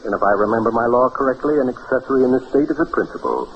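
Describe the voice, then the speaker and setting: A man acting a shrewd, genteel amateur sleuth in a vintage radio drama, speaking in a courteous, wry and deliberate way. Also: medium pitch, expressive, natural voice. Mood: smugly assured. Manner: urbane, deliberate, politely probing. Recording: muffled vintage radio with noise.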